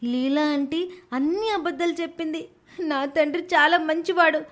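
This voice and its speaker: A woman playing a small, earnest girl in a cartoon moral tale. Her voice is very high and childlike, full of loyal conviction. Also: moderate pace, close to an everyday voice. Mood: indignant, earnest. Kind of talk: animated moral story voice acting.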